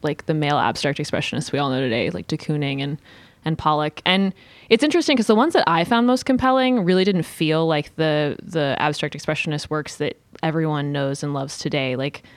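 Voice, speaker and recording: A woman's voice: sits low, dry recording. A woman chatting informally about art as a podcast panelist, often saying "like" as she talks. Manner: informal, frequent "like". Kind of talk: casual chat about art.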